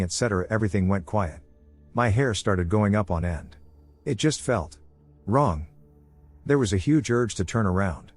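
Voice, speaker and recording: A man reading scripted scary stories aloud in a measured way, slowing down for suspense, on a close studio mic. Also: fairly low pitch, darkish tone. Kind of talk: scripted horror story narration.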